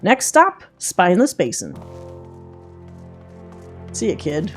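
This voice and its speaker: A woman's voice: fairly low pitch, wildly swooping pitch, slow, heavily acted. A woman voicing a folksy, confident hunter mentor from a fantasy game, with plain rustic phrasing, over music. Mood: businesslike. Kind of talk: reading game dialogue in character.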